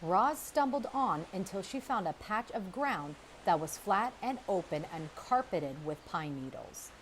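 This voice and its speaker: A woman reading a children's chapter book aloud, with expressive, measured storytelling and dramatic pauses. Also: mid-range voice, a little hiss or hum. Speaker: storybook reader. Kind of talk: children's audiobook read-aloud, scripted narration.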